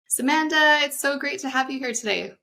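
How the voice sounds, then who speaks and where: A woman's voice: high voice, a little fast, gravelly, sharp tone. A woman hosting a podcast, reading an upbeat scripted intro and then asking her guest questions in an eager, conversational way. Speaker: podcast host.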